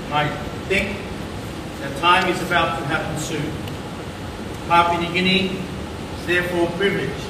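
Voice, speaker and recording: A man giving a formal prepared speech as a government official, with slow, measured delivery. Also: high voice. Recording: echoey hall from far off, noisy.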